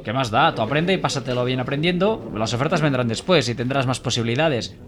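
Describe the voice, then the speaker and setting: A man's voice: medium pitch, fast talker, noisy background, natural voice. A man playing an earnest young Valencian idealist. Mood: eager. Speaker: programmer and volunteer. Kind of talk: scripted dialogue.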